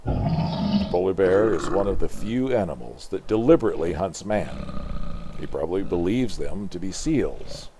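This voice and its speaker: A man's voice: low voice, background noise. An older man from Canada reading a comic book aloud as narrator, in a dramatic scripted storytelling style.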